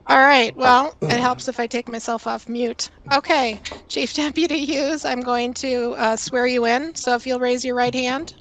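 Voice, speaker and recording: Flat, higher-pitched woman swearing in a witness. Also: unhurried, hardly any pauses, low-quality recording. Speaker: hearing officer administering the oath. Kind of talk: formal procedural speech. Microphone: video call.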